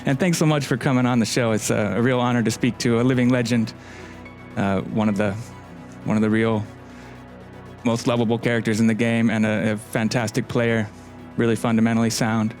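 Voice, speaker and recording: A man's voice: mid-range voice, fairly even intonation, brisk, a little mellow, faint music behind. A man from Finland hosting an interview, chatty and friendly. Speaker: podcast host.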